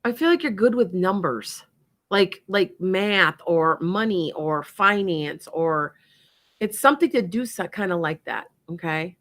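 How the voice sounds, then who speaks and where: Bright-voiced wisconsin lady doing psychic readings on her show, rambles and laughs a lot. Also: lowish voice, animated, a little slow. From Wisconsin, USA. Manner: chatty, rambling, laughs often. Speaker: psychic radio show host. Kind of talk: casual chat and psychic readings.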